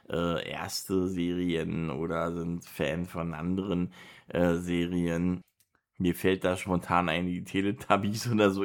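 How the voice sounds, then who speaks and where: A fifty-year-old man with a somewhat low voice, chatting alone on his podcast. He rambles and circles back, often filling pauses with hesitation sounds and repeated phrases. His delivery is loose and informal. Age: 50s. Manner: rambling, repeats himself, many filler words. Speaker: podcast host. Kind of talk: casual solo podcast monologue.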